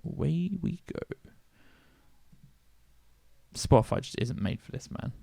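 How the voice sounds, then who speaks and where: A man's voice: medium pitch, slow, vocal fry, mellow, dry recording. A man co-hosting a music podcast in casual chat, laughing often and swearing casually. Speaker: podcast co-host.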